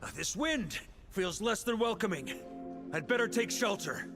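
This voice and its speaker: An actor voicing a bold, heroic warrior in a video game, theatrical in battle cries and musing asides. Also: male voice, high-pitched, lively intonation, a little slow, music in the background. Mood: wary, musing.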